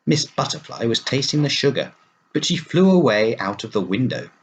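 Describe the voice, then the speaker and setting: A man reading a children's story aloud as a storyteller, in an animated narrating style. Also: medium pitch, moderate pace, a little hiss or hum, low-quality recording.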